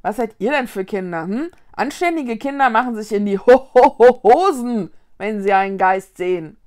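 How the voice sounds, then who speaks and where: A woman's voice: a little high, expressive, normal speed, darkish tone, lightly acted. A woman voicing a cheeky, easily spooked male ghost who grumbles and blusters in a children's storybook. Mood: whiny, scolding.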